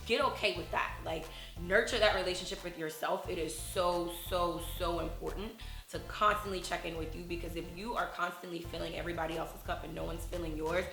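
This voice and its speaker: Low-voiced woman co-host giving encouraging advice. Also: fairly even intonation, brisk, fairly bright tone, echoey room, faint music behind. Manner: reflective, encouraging, self-care advice tone. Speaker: podcast co-host. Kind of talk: casual chat.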